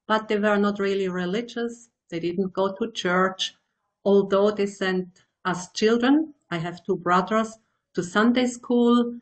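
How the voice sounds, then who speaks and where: A woman in her sixties from Switzerland speaks in an unhurried, measured way. Her pitch sits in a middle range. She answers reflectively and stops now and then to find the right word. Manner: slow, thoughtful, searching for words. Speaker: guest, meditation teacher.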